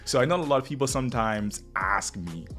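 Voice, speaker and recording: A man's voice: mid-range voice, lively intonation, brisk, fairly bright tone. A man with a Bahamian accent hosting a casual live stream, rambling and upbeat as he talks straight to viewers, still getting over the flu. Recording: music playing faintly behind.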